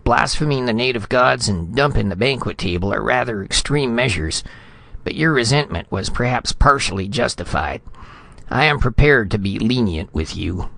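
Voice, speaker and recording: Man acting a pompous, blustering ambassador, pitched up high. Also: animated, moderate pace, vocal fry, sharp tone, no room sound. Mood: outraged. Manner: blustering, formal, sputtering. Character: pompous, choleric, indignant male senior ambassador.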